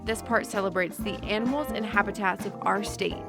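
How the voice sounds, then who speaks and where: A woman's voice: medium pitch, fairly even intonation, fairly quick. A woman presenting scripted promotional narration in the style of a television feature. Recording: prominent music bed behind voice. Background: music.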